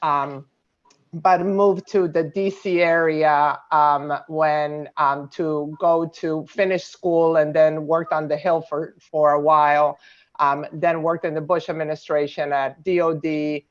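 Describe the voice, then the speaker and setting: A woman's voice: sits low, fairly even intonation, slow. Woman hesitating through an introduction, muffled. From Argentina. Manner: hesitant, many "um" fillers. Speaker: new board member. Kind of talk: self-introduction on a video call. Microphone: video call.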